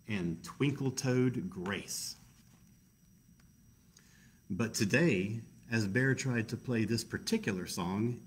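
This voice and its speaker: A man's voice: medium pitch, darkish tone, slight background noise. A man reading a picture book aloud to children in a casual, chatty way, with self-deprecating asides. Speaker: library storytime reader.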